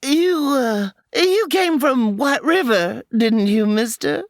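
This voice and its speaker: A woman's voice: fairly high pitch, lively intonation, takes it slowly, dry recording, clean studio sound. A woman playing a flustered older landlady who hesitates and stammers, in a comedy audio drama. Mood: nervous.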